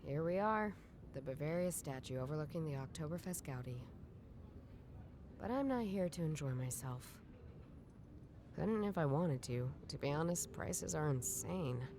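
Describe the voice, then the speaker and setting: A woman's voice: low-pitched, animated, moderate pace, background noise. An actress voicing a wry young woman detective in a video game, musing dryly in scripted inner monologue.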